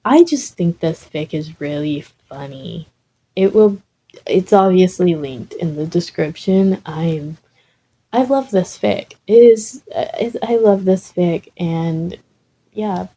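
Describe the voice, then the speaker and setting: A woman's voice: lowish voice, animated, takes it slowly, warm tone, husky, poor audio. A woman reading a comic story and chatting casually as the host. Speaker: fan fiction reader and host. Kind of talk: comic story narration, then casual chat.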